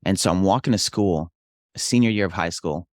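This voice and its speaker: A man's voice: low voice, moderate pace, no room sound, studio quality. A young adult man from Hawaii, a real estate investor and pastor, telling his life story in an earnest, reflective way. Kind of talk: casual interview storytelling.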